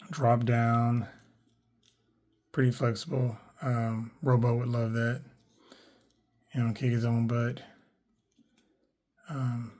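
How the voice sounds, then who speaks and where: Hoarse guy unboxing a figure, easygoing and rambly, average pitch, keeps fixing his words. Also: darkish tone. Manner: laid-back, rambling, many fillers and self-corrections. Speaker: toy collector reviewing action figures. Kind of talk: casual unboxing review.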